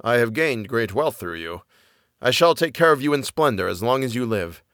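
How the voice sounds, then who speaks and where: A man's voice: medium pitch, expressive, moderate pace, fairly bright tone, dry recording, studio quality. Man playing an earnest, fearful fairy-tale miller. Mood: grateful. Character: poor, gullible, fearful miller and father.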